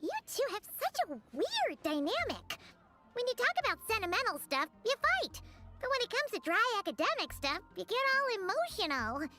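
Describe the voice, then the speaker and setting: A woman voicing a tiny childlike fairy companion in an anime-style game, squeaky, chirpy and cheeky. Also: big pitch swings, moderate pace, over music. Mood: cheeky, curious.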